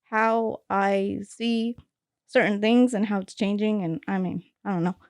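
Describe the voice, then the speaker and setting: A woman talking as a guest on a casual podcast, thinking aloud and hesitating with lots of fillers. Her voice is smooth and clear at a middle pitch. The sound is dry, with little room echo. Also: steady tone, unhurried, darkish tone. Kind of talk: casual conversation.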